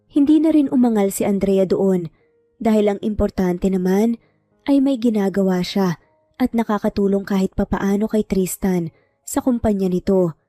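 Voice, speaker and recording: A woman's voice: medium pitch, normal speed, warm tone. A woman reading a scripted romance story as its narrator. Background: background music.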